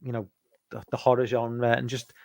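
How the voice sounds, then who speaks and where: A man in his forties with a broad Liverpool accent hosts a loose online chat. He rambles, trips over and repeats his words, and speaks at an everyday pitch into a dry, close mic on a call. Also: monotone, normal speed, creaky, no room sound. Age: 40s. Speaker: stream host. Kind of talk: casual chat.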